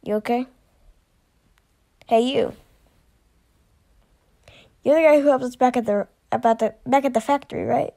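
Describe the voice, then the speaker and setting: A woman voicing amateur character dialogue for an animated story. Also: fairly high pitch, normal speed, creaky, pauses often. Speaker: creator voicing all characters of an animated series.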